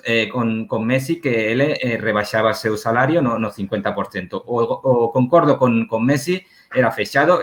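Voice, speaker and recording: A man's voice: medium pitch, fairly bright tone. A man from Barcelona, a native Catalan speaker, joining a panel discussion remotely as a guest journalist. He speaks Portuguese with a Catalan accent, slips Spanish words in and restarts his phrases often. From Barcelona, Spain. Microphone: remote video call.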